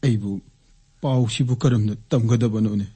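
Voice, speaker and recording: Man as a mellow, quick, grave uncle. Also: medium pitch, fairly even intonation, slightly rough, lightly acted. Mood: troubled, grave. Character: grave older uncle bearing bad news. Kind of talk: radio drama acting.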